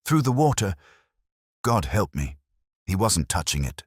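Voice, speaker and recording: A man's voice: low voice, very animated, measured pace, breathy edge, no echo, studio quality, voice pushed up, exaggerated voice. A man voicing a frightened, desperate underling in a mystery audiobook, shaken and pleading as he speaks. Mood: gasping terror.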